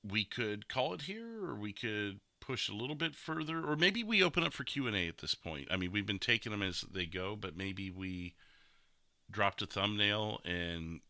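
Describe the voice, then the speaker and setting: A man's voice: on the low side, lively intonation, normal speed, sharp tone, no room sound. A man hosting a casual discussion show, talking through recorded gameplay in an informal way with some swearing. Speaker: podcast host.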